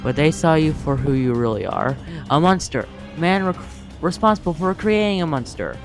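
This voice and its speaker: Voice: mid-range voice, expressive, moderate pace, close to an everyday voice. A performer playing an earnest, defiant comic-book hero in an amateur dramatic reading, with emotional delivery. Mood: remorseful, defiant. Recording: music behind the voice.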